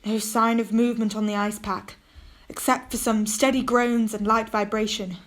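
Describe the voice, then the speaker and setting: A woman's voice: on the high side, flat delivery, normal speed, close to an everyday voice. A woman acting in a radio play as a resolute, commanding captain of an Arctic expedition ship. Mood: subdued, weary.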